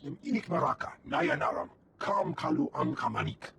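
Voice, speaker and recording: Croaky alien guy from a game, super gravelly, made-up language, bit of background noise. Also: medium pitch, very animated, moderate pace, fairly bright tone, exaggerated voice. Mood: matter-of-fact. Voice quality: gravelly, creaky. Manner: speaks an invented alien tongue. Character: guttural male alien trader. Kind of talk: video game creature voice.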